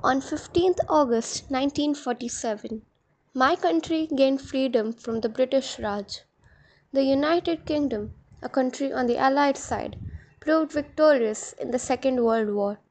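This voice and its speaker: A high voice of a woman playing a resolute prime minister. The leader delivers a formal radio speech, read out in measured, declarative sentences. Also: a little mellow. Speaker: student performer in a school audio roleplay.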